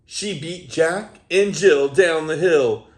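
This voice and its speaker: A man hosting a children's read-aloud, reading a picture book aloud as an animated storyteller who chats to young listeners between pages. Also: fairly high pitch, slow, background noise.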